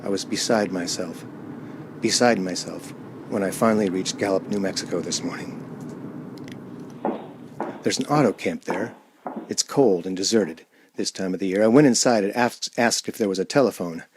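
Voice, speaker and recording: A man playing a frightened, unraveling traveler in a suspense radio play, speaking dramatically and haltingly as he tells his story. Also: sits low, background noise, lightly acted. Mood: shaken, desperate. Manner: dramatic, halting, repeats words in distress.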